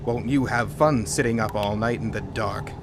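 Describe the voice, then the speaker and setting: An actor playing a gruff polar researcher in an audio drama, blunt, vehement and sardonic. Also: male voice, fairly low pitch, moderate pace, noisy background, natural voice. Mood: vehement, uneasy.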